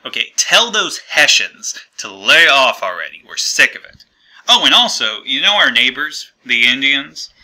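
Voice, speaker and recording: A man's voice: medium pitch, very animated, unhurried, bright, forceful tone, exaggerated voice, poor audio. A man playing the American colonies as an awkward, fed-up partner leaving a breakup voicemail in a hesitant, conversational, aggrieved way. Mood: aggrieved, exasperated. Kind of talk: comedic monologue as a breakup voicemail.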